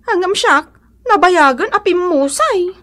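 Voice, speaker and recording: High, plaintive Ilocano housewife. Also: female voice, animated, measured pace, a bit bright, full character voice. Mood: defensive. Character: poor, envious Filipino wife and mother, sighing. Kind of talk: Ilocano radio comedy drama acting.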